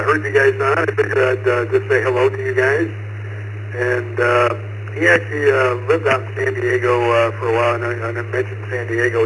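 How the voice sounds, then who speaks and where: A man's voice: medium pitch, fairly bright tone. A man with an American accent chatting casually as an amateur radio operator. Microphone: two-way radio microphone. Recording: faintly noisy humming radio transmission.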